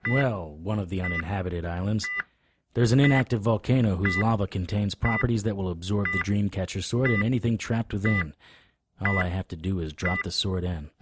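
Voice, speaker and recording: An actor playing a weary, lovestruck occult investigator who narrates his own case in a wry, measured way for an audio drama. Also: lowish voice, heavy background noise, lightly acted. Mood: tender, wry. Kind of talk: first-person noir audio drama narration and dialogue. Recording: music under the voice.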